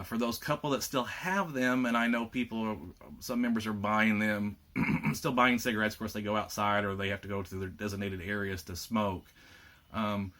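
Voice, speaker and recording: Plainspoken lower-voiced man. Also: a bit bright. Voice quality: rough voice. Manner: plainspoken, frequent uh and um fillers. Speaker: state lodge administrator giving members an update. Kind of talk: casual informational video update.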